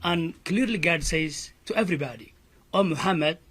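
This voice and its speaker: A man's voice: on the high side, normal speed, a bit bright, slightly rough. A man from Somalia with a Somali accent, an imam giving an earnest press conference statement full of "you know" fillers.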